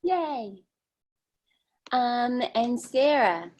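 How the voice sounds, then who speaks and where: Slow-spoken, higher-voiced woman moderator. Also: expressive, darkish tone. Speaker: facilitator. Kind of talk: moderating a panel on a video call. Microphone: video call.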